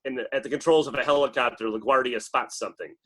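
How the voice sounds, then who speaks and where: A man's voice: medium pitch, fast, fairly bright tone, slightly rough. A man reading stage directions as dramatic scripted narration at a table read.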